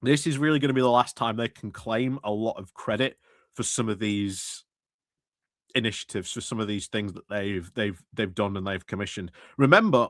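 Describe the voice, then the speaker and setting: A man's voice: medium pitch, no room sound. Male live-stream political commentator, sardonic, scornful, casual, very dry close sound, repeats for emphasis. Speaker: streamer commentating on a council meeting.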